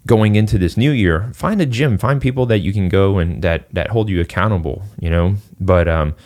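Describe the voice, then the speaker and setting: A man with a very deep voice, from Singapore, talking as a fitness coach on a podcast. His speech wanders loosely, stacked with little fillers as he works through each point. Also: normal speed, a little mellow. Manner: loose and rambling, full of "you know" and "like". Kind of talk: casual podcast conversation.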